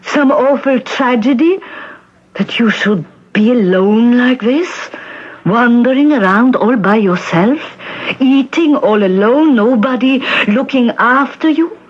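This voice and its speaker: An actress playing a kindly, bossy, fretful middle-aged Czech shopkeeper with emphatic, insistent delivery in a 1970s radio drama. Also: female voice, on the high side, slow. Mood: agitated, pressing. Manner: emphatic, insistent questioning in foreign phrasing. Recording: dull vintage radio broadcast recording.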